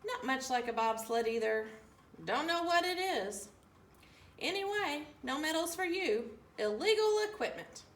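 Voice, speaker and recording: A woman's voice: high voice, sing-song, a little slow. A woman voicing an officious, dismissive penguin official from a storybook, speaking emphatically. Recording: roomy, distant mic, faint room noise.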